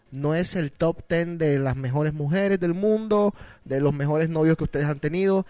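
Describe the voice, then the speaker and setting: A man's voice: on the high side, mellow, dry recording. A man hosting a casual radio talk show, teasing his co-host and joking around. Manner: teasing, playful, chatty with restarts.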